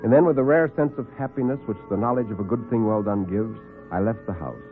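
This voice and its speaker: Man playing a grave, low-toned diarist. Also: natural voice. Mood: measured, ominous. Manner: measured, formal, grave. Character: forty-year-old male artist, precise, brooding diarist. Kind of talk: classic radio first-person narration. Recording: muffled vintage radio recording with music.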